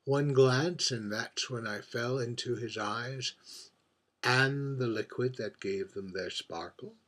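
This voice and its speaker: Stage monologue: lonely, boozy drag queen played by a man, husky voice, unhurried and halting, wistful barroom confidant. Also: mid-range voice, a bit bright. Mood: wounded, rueful. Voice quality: husky, breathy. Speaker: writer reading own monologue. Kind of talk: theatrical dramatic monologue.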